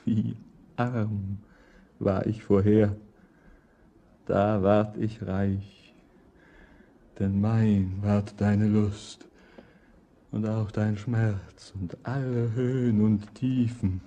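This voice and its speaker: A man playing a solemn, world-weary lover yearning toward death in a poetic radio drama, declaimed with long pauses. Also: fairly low pitch, level tone, mellow, heavily acted. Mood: rapturous yearning. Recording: dull lo-fi radio recording with noise.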